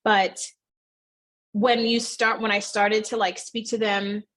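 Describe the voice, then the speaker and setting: A woman's voice: medium pitch, slow. Chatty woman in her thirties. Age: 30s. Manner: chatty, animated, acts out quoted voices. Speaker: fitness studio owner, guest. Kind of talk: casual interview answers.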